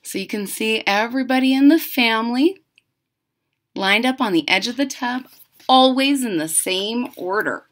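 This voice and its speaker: Animated woman reading a picture book. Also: fairly high pitch. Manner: deliberate, dramatic emphasis. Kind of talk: expressive children's read-aloud with chatty asides. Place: room at home.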